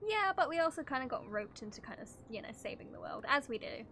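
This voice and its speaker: A woman with a fairly high voice gives live game commentary. She is casual and playful, slipping between reading along and reacting, with slight noise in the background. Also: sing-song, a little fast, bright, forceful tone. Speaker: game streamer. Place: live stream over game audio.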